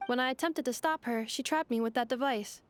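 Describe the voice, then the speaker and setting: Actress as a formal, logical android helper; high voice, terse machine-style phrasing, soft music under. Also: female voice, fairly quick, natural voice. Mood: steady. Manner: clipped machine-like reports, formal and literal. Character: calm android helper woman, logical and precise. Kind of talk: video game dialogue.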